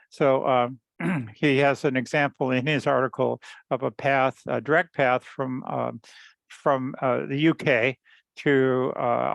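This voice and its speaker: Man, gravelly mid-to-low voice, halting technical explainer on a conference call, dry close sound. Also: no echo. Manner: halting, repeats words, frequent throat clearing. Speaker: engineer presenting research at a teleconference. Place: group teleconference call.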